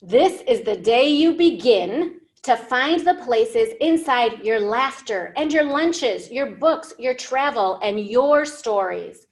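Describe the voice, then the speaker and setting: A woman in her thirties reading a picture book to young learners as a storytime host, expressively, with simple questions and explanations. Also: on the high side. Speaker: children's librarian hosting storytime. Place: indoor library room.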